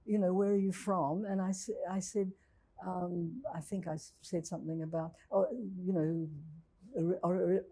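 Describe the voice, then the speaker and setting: A woman's voice: fairly low pitch, level tone, normal speed, mellow, a little hiss or hum. A woman in her eighties with a New Zealand accent, speaking candidly and thoughtfully as an interviewee before a university audience. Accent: New Zealand English. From Czechoslovakia. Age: 80s. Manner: reflective and candid, with restarts. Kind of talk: recalled testimony in a public interview.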